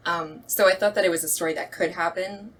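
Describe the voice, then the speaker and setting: Woman director chatting, kinda low voice but bright, reflective, bit of room noise behind her. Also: fairly even intonation, brisk, husky. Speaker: radio play director and actress. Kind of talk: casual chat.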